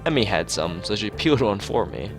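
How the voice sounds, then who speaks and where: A man's voice: on the low side, big pitch swings, a little fast, music in the background. A man voicing an odd, blunt, musing teenage schoolgirl artist from a visual novel, talking in matter-of-fact non-sequiturs.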